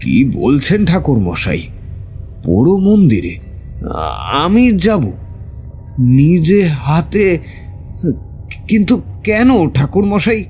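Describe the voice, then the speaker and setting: Man acting a slow, pleading, terrified heir. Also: on the high side, very animated, warm tone, soft background music, full character voice. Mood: panicked. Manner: stammering, pleading, hesitant. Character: terrified, guilt-ridden heir of a cursed landowning family, pleading. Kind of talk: dramatic Bengali horror audio story.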